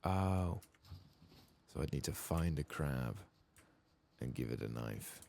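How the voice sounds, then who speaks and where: A soft-spoken man murmuring ASMR commentary as he plays a video game. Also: a bass voice, expressive, slow pace, vocal fry, a little hiss or hum. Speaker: ASMR gaming streamer.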